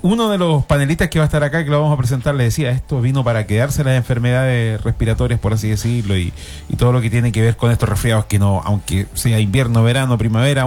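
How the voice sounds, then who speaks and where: A man's voice: mid-range voice, a little mellow. A man with a Chilean accent hosting a community health radio panel, speaking formally with frequent fillers. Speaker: radio host.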